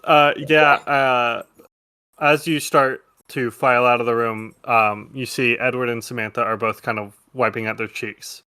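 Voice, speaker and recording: A male game master narrates a roleplaying scene in a loose, improvised style. His pitch is ordinary and his delivery is casual. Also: fairly even intonation, measured pace, pushed and bright, clear, resonant tone, no echo.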